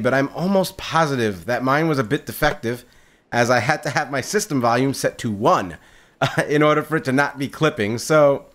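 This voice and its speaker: A man hosting a gaming video, talking in a casual, upbeat way with a loud voice and lots of fillers, recorded on a condenser mic at home. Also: mid-range voice. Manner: upbeat, chatty, frequent fillers and repeated words. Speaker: gaming channel host. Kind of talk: casual solo gameplay commentary. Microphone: condenser mic on a boom stand.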